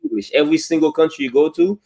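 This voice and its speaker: A man's voice: a little high, fast talker, mellow. A man from Haiti, a guest in a casual chat, who keeps dropping "you know what I'm saying" and "man".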